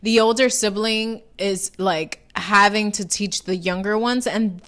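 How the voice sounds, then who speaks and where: A woman's voice: mid-range voice, unhurried, a bit bright. A young woman, the younger sister co-hosting a casual chat, blunt and teasing, with casual swearing. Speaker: podcast co-host.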